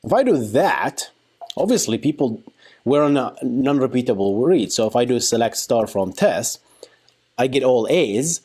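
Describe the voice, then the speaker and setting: A man giving an informal software tutorial, talking through a live demo in a playful, colloquial way and thinking aloud. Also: medium pitch, animated, normal speed, husky. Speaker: software engineering instructor.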